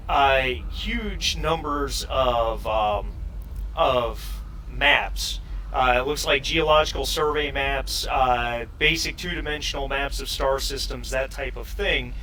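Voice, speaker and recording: A man runs a tabletop roleplaying game in a mid-pitched, sharp-edged voice. He narrates haltingly and takes his time between phrases. The mic sounds slightly distant. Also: a little hiss or hum. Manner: halting, searching delivery. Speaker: game master.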